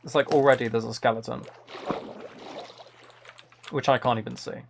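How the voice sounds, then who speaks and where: Guy streaming a game, easygoing chatter to himself and chat, crackly vocal fry, bit of background noise and clicking. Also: mid-range voice, steady tone, moderate pace. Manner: easygoing running commentary, thinking aloud. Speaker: live streamer. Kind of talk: casual chat while playing a game live.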